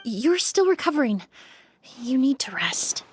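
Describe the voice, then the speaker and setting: An actress playing a loyal, protective attendant in a video game, formal and concerned in manner. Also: female voice, sits high, sing-song, unhurried, soft background music.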